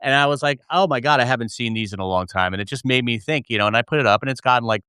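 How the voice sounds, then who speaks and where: A man's voice: mid-range voice, rapid-fire, bright, forceful tone, clear, resonant tone, dry recording, studio quality. A middle-aged American man hosting a casual chat interview. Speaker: show host.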